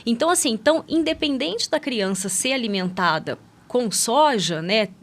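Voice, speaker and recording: A woman's voice: fairly high pitch, expressive, moderate pace. A Brazilian Portuguese-speaking woman, a guest medical expert explaining things conversationally in a podcast interview, often adding little check-in tags. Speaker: guest expert, pediatric endocrinologist.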